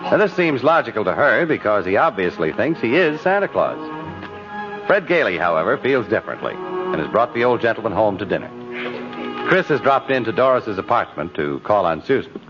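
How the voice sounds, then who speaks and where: Over clear music on a dull 1950s radio recording, a male announcer narrates from a script. His voice is mid-pitched and highly varied in tone. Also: sing-song, fairly quick.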